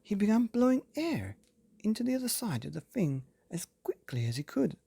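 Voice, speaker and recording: A man hosting and reading a children's bedtime story, with theatrical, dramatic emphasis and drawn-out words. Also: sits high, sing-song, vocal fry, mellow, breathy edge, no room sound. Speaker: podcast host and story reader.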